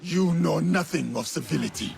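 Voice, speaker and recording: A man's voice: fairly high pitch, animated, moderate pace, creaky, a little mellow, faint music behind. A man voicing a vengeful, resolute samurai in a video game, solemn and defiant in manner. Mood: fierce threat. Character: vengeful, resolute male samurai, a former slave. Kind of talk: dramatic video game acting.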